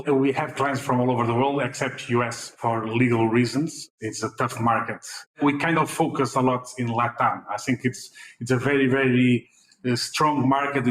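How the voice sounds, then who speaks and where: A man in his forties with a Portuguese accent answers interview questions, halting often on fillers and restarted words. His voice sits at a middle pitch. The room around him is echoey. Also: a bit bright. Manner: hesitant, many fillers and repeated words. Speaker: fintech CEO guest.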